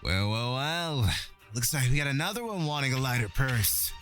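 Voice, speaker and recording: Swaggering, animated bandit boss played by a man. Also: medium pitch, normal speed, soft background music, lightly acted. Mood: mocking menace. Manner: taunting, swaggering. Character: mocking, menacing male bandit gang leader. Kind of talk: fantasy visual novel voice acting.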